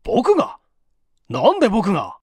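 Indignant accused secretary for mystery story, male reader performing, agitated, high-pitched delivery. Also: wildly swooping pitch, measured pace, darkish tone, husky, dry recording, studio quality, full character voice.

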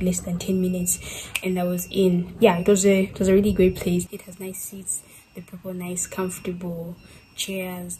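Chatty young woman, lowish voice, hiss. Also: dark tone, poor audio. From Zambia. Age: young adult. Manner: chatty, rambling, many fillers like "like". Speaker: vlogger. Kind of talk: casual vlog talk to camera. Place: bedroom, indoors.

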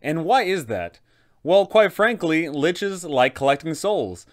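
A man's voice: a little high, lively intonation, slow. A young man, presenting tabletop game advice in an emphatic, explanatory way. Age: young adult. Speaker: host, game master advisor. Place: small furnished room indoors.

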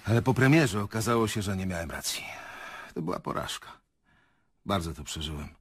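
Deep, husky older theatre director. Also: male voice, animated, brisk, dry recording, clean studio sound. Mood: reflective, confessional. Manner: earnest, hesitant, reflective. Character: older male theatre director, reflective, earnest, confessional. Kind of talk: radio play acting.

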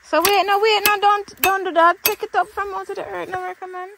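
A woman's voice: very high-pitched, little pitch movement, a little fast, vocal fry, crowd noise. A young woman, chatting casually outdoors as she vlogs around her farm. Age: young adult. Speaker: vlogger showing her farm. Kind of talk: casual outdoor vlog chat. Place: outdoors in a grassy goat pasture. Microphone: handheld phone camera.

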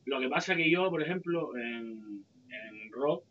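A man's voice: medium pitch, expressive, unhurried. A man co-hosting a fishing livestream, chatting casually over a video call and answering viewers' questions. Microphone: headset on a video call. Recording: dull lo-fi call audio.

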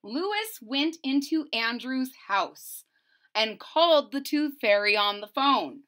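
Children's story reader: woman, middle-aged, bright high voice, unhurried, theatrical emphasis. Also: expressive. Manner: theatrical, emphatic, drawn-out words. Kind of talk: expressive read-aloud storytelling for children. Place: small room at home.

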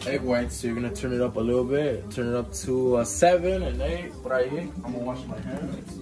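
A man's voice: mid-range voice, fairly even intonation, brisk, music in the background, rough recording. A young man shows how to cook in a cramped home kitchen. He talks in loose, profane slang, with Spanish words mixed into his English, and he sounds as though he is speaking into a phone camera. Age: young adult. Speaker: home cook showing a recipe. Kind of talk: joking cooking show banter.

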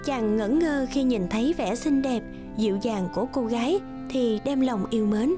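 A woman's voice: fairly high pitch, moderate pace, music in the background. A woman giving scripted fairy-tale narration as the storyteller of a cartoon.

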